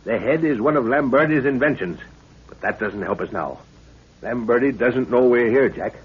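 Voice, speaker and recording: An actor playing an authoritative middle-aged professor and adventurer in a 1930s radio drama, measured and commanding. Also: male voice, mid-range voice, expressive, husky. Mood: grave, explaining. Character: steady, authoritative male professor and adventurer, a father. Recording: muffled, hissy 1930s radio recording.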